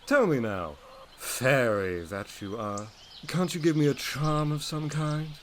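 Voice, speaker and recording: An actor playing a brooding, teasing English gentleman in a gothic romance audio drama, speaking in formal, theatrical period phrasing. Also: mid-range voice, expressive, measured pace, a little hiss or hum, close to an everyday voice. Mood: teasing, wistful. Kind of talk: audio drama acting, period literary dialogue.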